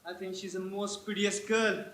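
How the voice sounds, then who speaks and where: A stage performer playing a breezy, smitten young Victorian gentleman, a nephew in a comedy. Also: mid-range voice, fairly even intonation, normal speed, lightly acted. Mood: smitten, dutiful. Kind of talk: theatrical stage comedy acting. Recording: echoey stage play recording.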